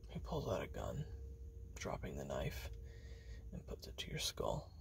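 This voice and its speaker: Man voicing a very deep, whispery male assassin. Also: wildly swooping pitch, a little slow, heavily acted. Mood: grim, hushed. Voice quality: breathy whispering with gravelly fry. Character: treacherous male assassin recounting his betrayal to you. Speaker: roleplay narrator. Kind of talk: second-person audio roleplay narration, close and intimate.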